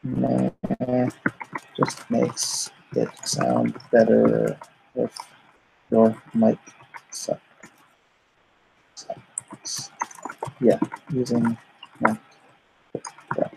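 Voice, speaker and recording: A man's voice: mid-range voice, completely flat delivery, drawn-out words, vocal fry, pauses often, a little mellow, a little hiss or hum, low-quality recording. A man hosting a livestream, chatting casually and reading viewer comments aloud in a rambling, joking way. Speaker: comic artist hosting a livestream.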